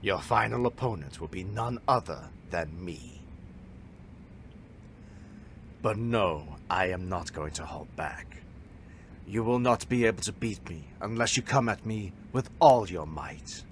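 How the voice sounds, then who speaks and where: Casting: male actor as proud, stern dojo master, deep-ish and formal, measured teacher's delivery, slight noise and ambience behind. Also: expressive. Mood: challenging. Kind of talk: fan audio drama acting.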